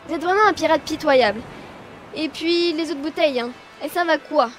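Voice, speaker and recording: An actress playing a haughty, sharp-tongued young woman who mocks a pirate in a comic audio drama. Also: high-pitched, a little fast, soft background music, natural voice. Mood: scornful. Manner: scornful and sarcastic.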